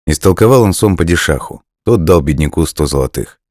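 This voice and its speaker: A man's voice: a bass voice, lively intonation, a little fast, a little mellow, no echo, clean studio sound. A man reading a folk tale as scripted storybook narration.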